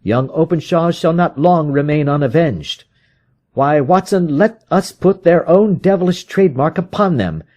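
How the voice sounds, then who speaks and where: An intense, vengeful detective from a storybook, acted out by a male reader, pitched high and swinging widely as he speaks. He is proud and brooding, and declares things with agitated emphasis. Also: lively intonation, measured pace, a little mellow. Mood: vengeful, triumphant. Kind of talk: dramatic audiobook character reading.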